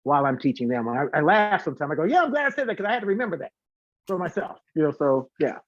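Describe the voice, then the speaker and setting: A man who sings and teaches voice, talking as a guest in a casual live interview, telling stories in an animated way and acting out what people say. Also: a little high, fast talker, dry recording, lo-fi sound. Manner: talkative, animated storytelling with acted-out quotes and demonstrations.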